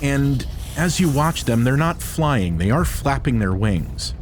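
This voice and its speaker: A man talking and narrating a tabletop game in an emphatic, descriptive way, pausing for drama on key words. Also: medium pitch, very animated, background noise. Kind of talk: animated talk and improvised game narration. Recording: music behind the voice. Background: music.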